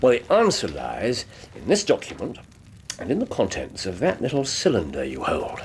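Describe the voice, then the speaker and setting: An actor playing a brisk, wry English gentleman detective in a radio drama, precise and given to musing hums. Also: on the low side, huge pitch swings, a little hiss or hum. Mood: wry, reminiscing.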